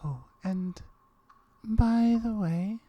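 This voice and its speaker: A woman voicing a lonely, wary gorgon with slyly flirtatious murmurs and drawn-out syllables, in an ASMR roleplay. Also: medium pitch, dull audio. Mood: teasing, intrigued.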